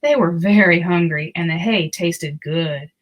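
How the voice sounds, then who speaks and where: A woman narrating a children's storybook aloud in an expressive, deliberate storytelling manner. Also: lowish voice.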